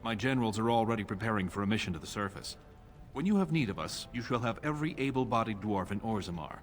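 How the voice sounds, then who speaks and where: A man's voice: lowish voice, animated, quick pace, faint music behind, natural voice. A man playing an imperious newly crowned dwarf king in a fantasy video game, speaking in a formal, commanding way. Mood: formal, satisfied.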